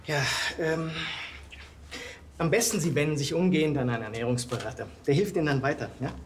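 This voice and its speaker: Man playing a higher-pitched, matter-of-fact hospital doctor. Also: fairly quick, close to an everyday voice. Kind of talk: naturalistic TV drama acting.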